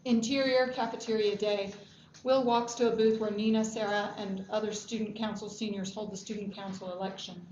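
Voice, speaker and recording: A woman's voice: medium pitch, fairly even intonation, moderate pace, a little mellow. A woman reading screenplay stage directions as scripted narration at a table read. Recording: echoey room, dull lo-fi, faint noise.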